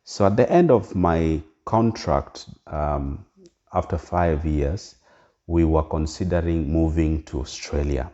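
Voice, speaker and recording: A man's voice: very deep voice, slow pace, warm tone. A middle-aged Kenyan man with a Kenyan accent talking earnestly to his viewers, full of ums and restarts. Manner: earnest, lots of fillers and restarts. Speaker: vlogger. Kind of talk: casual direct-to-camera talk. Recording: dull lo-fi sound.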